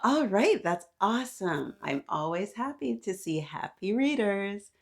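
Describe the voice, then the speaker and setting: Slow, very animated woman hosting storytime. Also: medium pitch, vocal fry. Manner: encouraging, playful. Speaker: children's storytime host. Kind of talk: interactive storytime chat to young children.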